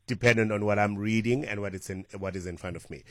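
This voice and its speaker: A man hosting a talk radio call-in, his voice very low and dry from a close studio mic. He explains things steadily but hesitantly, with plenty of ums and uhs. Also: a bit bright, no echo. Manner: measured, explanatory, hesitant with fillers. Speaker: radio talk show host. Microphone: studio microphone.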